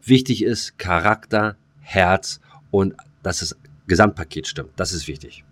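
A man with a low-pitched voice hosts a casual podcast. He rambles through jokey banter in colloquial speech, circling back and repeating words as he goes. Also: a little slow, a bit bright. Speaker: podcast host.

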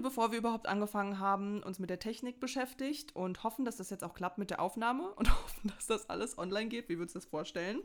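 Upbeat young woman podcast host. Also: mid-range voice. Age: young adult. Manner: upbeat, giggly, rambling. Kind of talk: casual chat.

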